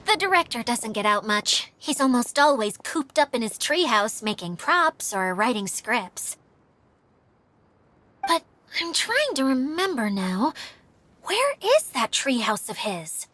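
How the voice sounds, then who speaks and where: A woman's voice: fairly high pitch, sing-song, a little slow, pushed and bright, dry recording, full character voice. A woman voicing a bubbly, self-doubting young female caretaker mascot in a video game cutscene. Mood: businesslike.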